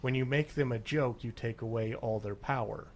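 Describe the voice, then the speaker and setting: A young man hosting a local radio show, introducing his guest with lots of pauses and fillers. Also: mid-range voice, slight background noise. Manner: hesitant, many fillers. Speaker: radio host. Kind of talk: casual radio chat. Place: radio studio.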